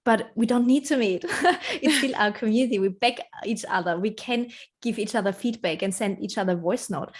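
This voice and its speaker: A woman's voice: on the high side, moderate pace, few pauses, husky. A woman speaking English as her second language, answering interview questions about her work in an earnest, reflective way, often laughing. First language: not English. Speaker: interview guest, branding strategist.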